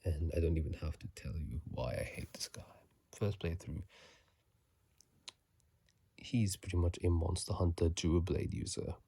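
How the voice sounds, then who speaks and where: A man giving casual, halting ASMR commentary in a hushed, breathy whisper, sleepy and close to a phone microphone. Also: deep voice. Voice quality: breathy, gravelly soft whisper. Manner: slow, halting, hushed delivery. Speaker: video host.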